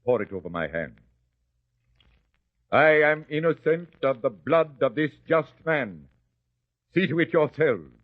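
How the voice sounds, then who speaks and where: An actor playing a commanding middle-aged Roman governor in a radio drama, giving formal orders. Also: male voice, a little high, animated, measured pace, pauses often, no room sound. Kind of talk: vintage radio drama acting. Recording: dull lo-fi radio drama recording.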